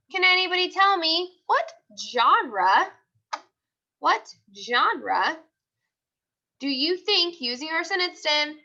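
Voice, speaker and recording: A woman teacher reading aloud to young children in an expressive storytelling way, with deliberate pauses. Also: high-pitched, lo-fi sound. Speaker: elementary school teacher.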